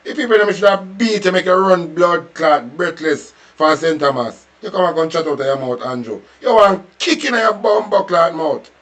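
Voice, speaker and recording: An angry man with a thick Jamaican accent tears into someone in a running tirade, profane and mocking. His voice sits a little higher than most men's. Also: expressive, moderate pace, hardly any pauses, fairly bright tone. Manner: furious, profane, taunting tirade. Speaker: reaction commentator. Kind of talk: ranting reaction commentary.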